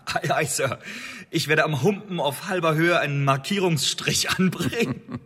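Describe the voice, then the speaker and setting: A man's voice: on the high side, a little slow, fairly bright tone, husky. An actor playing a cheeky, flippant male poet-spy with mock-obedient politeness in a radio drama. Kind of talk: German radio drama acting. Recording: hall-like reverb.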